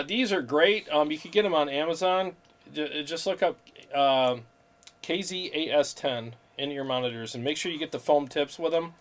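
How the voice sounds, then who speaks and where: A man hosting a guitar livestream, chatting casually about gear with plenty of fillers and frequent laughs. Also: medium pitch. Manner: laid-back, laughs often, talks gear with fillers. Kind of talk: casual chat.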